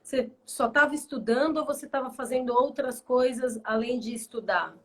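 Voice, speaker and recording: Host: woman, fairly high voice, short friendly questions over a video call. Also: normal speed. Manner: brief friendly questions and backchannels. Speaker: interviewer host. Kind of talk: casual online live interview.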